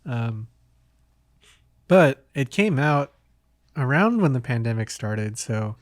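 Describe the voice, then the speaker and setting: A man hosting a podcast, talking casually about games in a hesitant, halting way. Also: medium pitch, big pitch swings, dry recording, clean studio sound. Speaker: podcast host.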